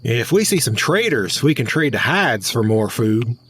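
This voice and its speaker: Curt, bossy actor as a pioneer husband. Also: male voice, mid-range voice, very animated, moderate pace, fairly bright tone, slightly rough, heavily acted. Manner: curt, domineering. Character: bossy, hot-tempered pioneer husband and father. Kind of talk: historical audio drama acting.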